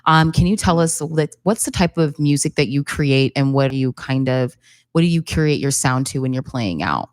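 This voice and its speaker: A woman's voice: a bass voice, expressive, moderate pace, no echo, studio quality. A woman co-hosting a radio show, upbeat and encouraging as she asks questions. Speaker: radio co-host. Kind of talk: casual interview chat.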